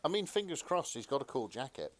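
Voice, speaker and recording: Male co-host, animated intonation over a medium pitch, casual, halting, self-mocking, dry sound. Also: dry recording. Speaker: podcast co-host. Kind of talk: casual banter.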